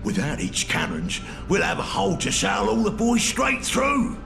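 A man voicing a rough ork henchman in a video game, with crude slangy speech. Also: sits high, huge pitch swings, normal speed, full character voice. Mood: gruff, plotting. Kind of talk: video game character acting. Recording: voice under game music.

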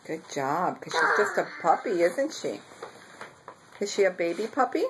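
A woman's voice: on the low side, big pitch swings, moderate pace. A grandmother talking casually to a small child and pets in simple, praising, coaxing phrases. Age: middle-aged or older. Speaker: grandmother filming her granddaughter. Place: bedroom at home, indoors. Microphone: handheld camera, speaker behind it. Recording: faint background noise, home video.

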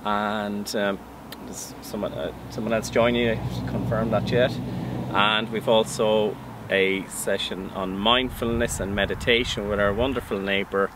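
A man's voice: on the low side, fairly even intonation, normal speed, hardly any pauses, noisy background. A man in his fifties from Ireland, an organic farmer speaking plainly and matter-of-factly in an outdoor interview. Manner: plain, matter-of-fact, listing details. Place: outdoors in a vegetable field. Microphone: camera mic, standing interview.

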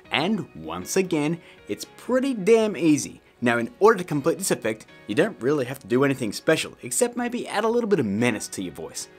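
A man's voice: on the high side, breathy edge. A man in his thirties hosting a filmmaking tutorial in a playful, casual, emphatic way. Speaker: host of a filmmaking tutorial show. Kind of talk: playful scripted tutorial to camera.

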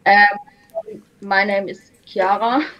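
Slow, giggly young German woman. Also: medium pitch, big pitch swings, muffled recording. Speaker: video chat stranger. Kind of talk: casual video chat.

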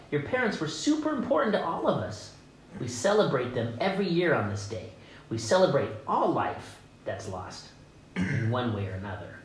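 A man voicing a blunt, incredulous carpenter in a comic, exaggerated amateur table read. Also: on the high side. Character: blunt, indignant townsman carpenter with goofy exasperation. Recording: roomy echo, faint background noise.

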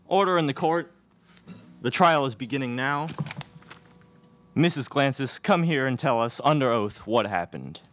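A man's voice: medium pitch, animated, measured pace, long pauses, background noise, lightly acted. A young man playing an officious Wild West judge in a student radio play, declaiming into a stage microphone. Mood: commanding. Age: young adult. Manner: declamatory, calling for order. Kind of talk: student radio theatre acting. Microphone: stage microphone on stand.

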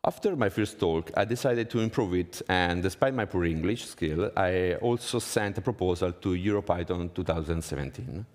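A man in his thirties with an Italian accent gives a prepared conference talk in non-native English, his delivery measured. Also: sits low, animated. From Italy. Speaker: conference speaker. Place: conference hall stage, indoors. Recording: reverberant conference hall.

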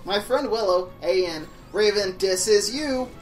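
A man's voice: sits high, unhurried, soft background music, low-quality recording. A young man co-hosting a let's play, switching between casual banter and mock-dramatic story reading in a sardonic way. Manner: sardonic, sweary. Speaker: let's play co-host reading fan fiction aloud.

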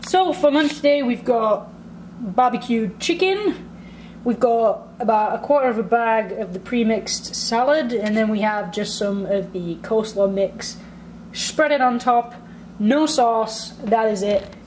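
A woman's voice: a little high, unhurried, a little mellow. A young woman chatting informally to a camera in her kitchen, with dry asides. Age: young adult. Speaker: vlogger. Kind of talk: casual vlog chat. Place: home kitchen, indoors. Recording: echoey room, some background noise.

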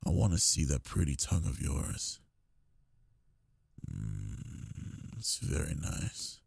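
Deep, gravelly goth bully, played by a man. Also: sing-song, darkish tone, no room sound, full character voice. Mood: possessive, seductive. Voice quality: low, gravelly, husky.

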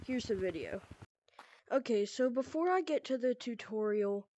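A woman's voice: fairly high pitch, animated, normal speed, warm tone, muffled recording, slight background noise. A young woman with a stuffy nose from a cold, loosely explaining a VR game in a casual tutorial. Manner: loose and rambling, heavy on "pretty much" and "like". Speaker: VR gaming tutorial creator.

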